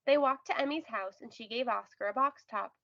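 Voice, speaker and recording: Female storybook reader, high register with creak, expressive and careful narration, roomy home recording. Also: fairly quick, dull audio. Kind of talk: children's read-aloud narration.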